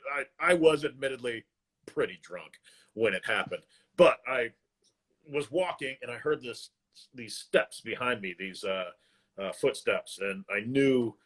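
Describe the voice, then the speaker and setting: An American man with a mid-pitched voice tells a story as a guest on a casual chat show. He speaks in a lively, relaxed way over a home video call. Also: breathy edge. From United States.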